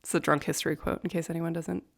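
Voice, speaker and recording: Low-voiced woman telling history, wry and profane. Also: little pitch movement, quick pace, vocal fry. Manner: wry, profane, nerdy about law. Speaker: podcast co-host. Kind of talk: casual storytelling chat.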